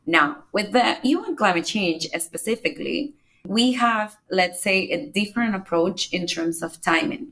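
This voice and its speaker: A woman from the Republic, giving measured step-by-step answers as a podcast guest. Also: mid-range voice, lively intonation, gravelly, a bit bright. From Dominican Republic. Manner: measured, explains step by step with small restarts. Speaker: climate negotiator, podcast guest. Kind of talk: explanatory interview answers.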